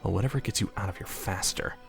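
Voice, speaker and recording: A man playing a weary, irritable mayor who narrates an audio drama with deadpan, grumbling sarcasm. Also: low voice, fast, gravelly, faint music behind. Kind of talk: first-person scripted narration with dialogue, audio drama.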